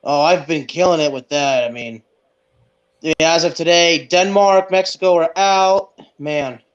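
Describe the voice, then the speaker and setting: A man co-hosting a sports podcast in casual chat, speaking haltingly and repeating words as he goes. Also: on the high side. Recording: dull, lo-fi sound.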